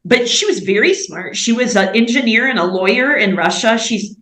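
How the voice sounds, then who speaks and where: A woman's voice: fairly high pitch, animated, moderate pace, a bit bright. An American woman, a missionary and interview guest, giving an earnest personal testimony in a fervent storytelling manner. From United States. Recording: echoey room.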